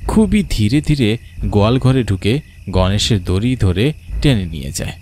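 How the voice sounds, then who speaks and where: Low-voiced man narrating a spooky tale. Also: animated, moderate pace, darkish tone. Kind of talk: scripted horror cartoon story narration. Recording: faint background music. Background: faint music.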